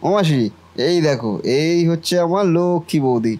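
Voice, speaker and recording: A man voicing a trusting, devoted young brother and gullible nephew in a cartoon family drama, earnest and expressive. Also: fairly high pitch, moderate pace, close to an everyday voice. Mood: cheerful, welcoming. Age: young adult.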